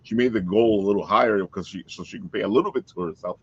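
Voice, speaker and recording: A man hosting a casual chat show in an excitable, folksy way, addressing listeners directly. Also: fairly low pitch, rapid-fire, husky. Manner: excitable, talks to listeners as folks, laughs often. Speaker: podcast host. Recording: dull lo-fi call audio.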